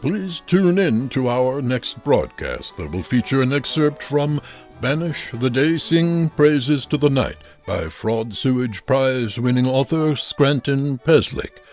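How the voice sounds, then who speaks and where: A man's voice: medium pitch, expressive, slow, darkish tone, soft background music. A man narrating a scripted comic mock radio broadcast as its host, theatrical, mock-pompous and emphatic.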